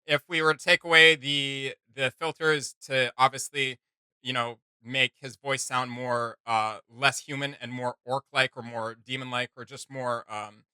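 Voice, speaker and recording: A man's voice: mid-range voice, steady tone, unhurried, sharp tone, clean tone, dry recording. A man hosting a reaction video, thinking aloud in casual, rambling commentary.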